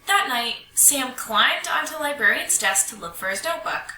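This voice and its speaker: Bright, animated woman reading a storybook. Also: high-pitched, normal speed. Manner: expressive, animated storytelling delivery. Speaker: storybook reader. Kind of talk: children's picture book read-aloud. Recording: roomy echo, distant mic, faint noise.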